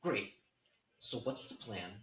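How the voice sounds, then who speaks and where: A man's voice: on the low side, animated, slow, fairly bright tone, husky, heavily acted. A man playing an anxious, sceptical young man on the run in a horror script table read. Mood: anxious, doubtful. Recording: distant, echoey room sound.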